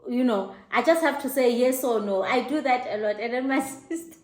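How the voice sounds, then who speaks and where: A middle-aged South African woman hosting her own show, telling a personal story in an animated, laughing way. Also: normal speed. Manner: animated, laughing, high-pitched mock exclamations. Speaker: host. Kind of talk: casual storytelling to camera. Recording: echoey room.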